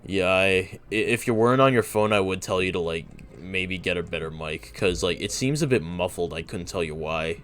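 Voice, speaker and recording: A young man casually chatting while streaming a video game, relaxed and easygoing. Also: low-pitched, normal speed, hardly any pauses. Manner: relaxed, talks while playing, fillers and restarts. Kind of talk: casual gameplay chat.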